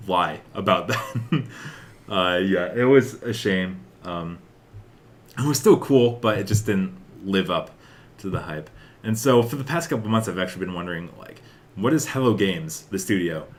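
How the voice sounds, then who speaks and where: A young man hosting a podcast, chatting casually and rambling about with lots of filler words. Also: sits low. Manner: rambling, peppered with "like" and "uh". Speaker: podcast host. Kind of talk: casual chat about video game news.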